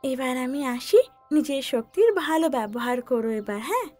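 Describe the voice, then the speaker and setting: A woman plays a storybook blue fairy with a high, lively voice. She is kindly but admonishing, gently instructive, over cartoon music. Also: moderate pace.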